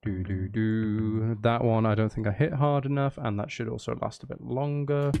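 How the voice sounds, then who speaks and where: A man chatting casually on a livestream as he makes music, excitable and apologetic, with exclamations and nonsense syllables for riffs. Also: moderate pace, vocal fry, dull audio. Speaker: streamer making music. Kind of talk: casual livestream chat while composing music. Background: bass guitar and music playing.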